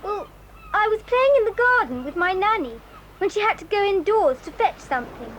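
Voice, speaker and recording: A timid little British girl just rescued from a dungeon, in a children's audio drama. Her voice is very high and rises and falls, with faint hiss behind it. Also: sing-song, moderate pace, poor audio. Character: frightened rescued young girl.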